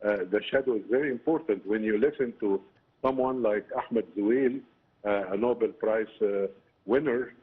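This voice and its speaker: Husky Egyptian man on a phone-like line. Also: on the low side. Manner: halting, explanatory, often says "you know". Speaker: political science professor, interview guest. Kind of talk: broadcast interview commentary. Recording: muffled, narrow phone-like line.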